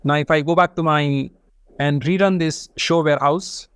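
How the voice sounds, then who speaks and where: A man teaching a screen-share software tutorial, explaining each step in a methodical way. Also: a little high, steady tone, normal speed, clean tone, dry recording. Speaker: data engineering instructor.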